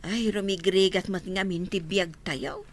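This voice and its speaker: A woman's voice: lowish voice, measured pace. A woman playing a worried, scolding village mother in a radio drama, with a Filipino accent. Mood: worried, chiding. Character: worried, hard-up village mother of grown children.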